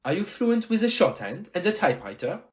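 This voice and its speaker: Theatrical, animated detective, played by an amateur actor. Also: medium pitch, a little fast, muffled recording, lightly acted. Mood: courteous, wry. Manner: theatrical, didactic, rhetorical "eh?" tags, French phrases. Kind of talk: amateur audio play detective acting.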